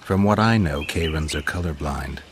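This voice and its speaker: An actor playing a gruff, dry-witted monster hunter in a video game, laconic and deadpan, with a low gravelly voice. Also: male voice, normal speed, noisy background. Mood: dry, wry.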